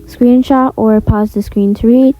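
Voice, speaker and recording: A woman's voice: a little high, fairly even intonation, unhurried, highs cut off. A woman giving a casual, rambling step-by-step tutorial voice-over in an informal way. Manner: informal, rambling, repeats filler phrases. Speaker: video creator and host of a tutorial.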